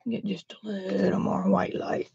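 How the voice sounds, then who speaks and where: A woman's voice: mid-range voice, level tone, unhurried, gravelly, dark tone, muffled recording, a little hiss or hum. A woman, chatting casually on a live stream as an art teacher, a bit sniffly, describing her supplies to viewers.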